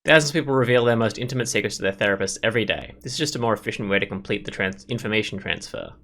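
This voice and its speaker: Man, lowish pitch, bright forceful voice, casual gaming host, rambling opinionated asides. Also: very fast. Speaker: gameplay video host. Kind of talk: reading visual novel text aloud with casual commentary.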